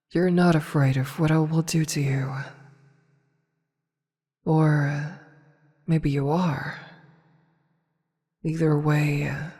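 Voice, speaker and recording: A woman playing a seductive, commanding evil empress in an intimate ASMR roleplay, breathy and often near a whisper, teasing and imperious. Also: low voice, mellow, full character voice. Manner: slow, teasing, imperious, deliberate. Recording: long reverberant hall echo.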